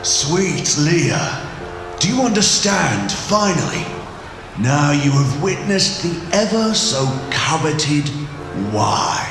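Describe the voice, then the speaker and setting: An actor playing a sadistic, possessed psychopath who taunts his prey in a sing-song, drawn-out way in an audio drama. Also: fairly high pitch, animated. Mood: sinister, preaching. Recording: echoing voice under music.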